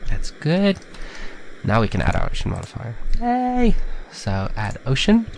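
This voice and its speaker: A man with a slightly nasal, stuffed-up voice from a head cold, giving a relaxed, chatty step-by-step software tutorial. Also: medium pitch, measured pace, vocal fry, a little hiss or hum. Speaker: tutorial maker. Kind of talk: casual screen-recorded software tutorial.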